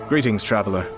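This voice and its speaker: A man voicing a gracious young clan lord in a video game, speaking in a formal, measured and politely amused way. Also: lowish voice. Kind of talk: video game character acting.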